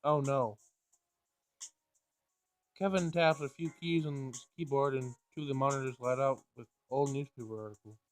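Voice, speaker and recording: A man doing a casual game playthrough, reading dialogue aloud and thinking out loud in informal, slangy language. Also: mid-range voice, steady tone, normal speed, long pauses. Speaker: let's play gamer.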